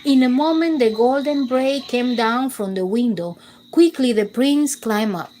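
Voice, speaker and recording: A woman reading a bedtime story aloud to children, gentle and clearly expressive. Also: a little high, a little slow, a little hiss or hum, low-quality recording.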